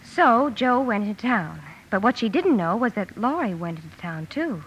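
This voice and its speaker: An actress playing a proper, prim elder sister who narrates family memories in old-time radio drama style. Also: female voice, mid-range voice, big pitch swings, normal speed, slight background noise, lightly acted. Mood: fond, reflective. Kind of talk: storytelling narration in 1940s-50s radio drama. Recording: dull vintage radio broadcast recording.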